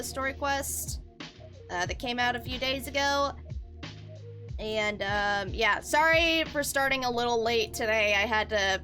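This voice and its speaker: A woman's voice: a little high, bright, forceful tone. A young woman chatting casually as she hosts a video game livestream. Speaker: game streamer. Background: game music.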